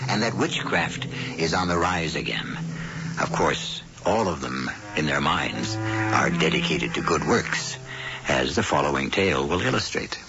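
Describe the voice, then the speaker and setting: Deep-voiced guy hosting a spooky radio show, big swoopy delivery, loud music under, muffled. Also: expressive, a little slow, vocal fry. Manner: grave, theatrical, teasing delivery. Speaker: host narrator. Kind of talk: scripted radio mystery narration. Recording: dull old radio broadcast with loud music.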